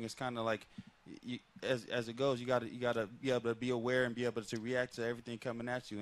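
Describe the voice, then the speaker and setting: A man in his thirties, a boxer guest, talking casually and rambling with lots of fillers on a headset mic at an outdoor set. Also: medium pitch. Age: 30s. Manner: relaxed, rambling with many fillers. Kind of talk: casual interview talk.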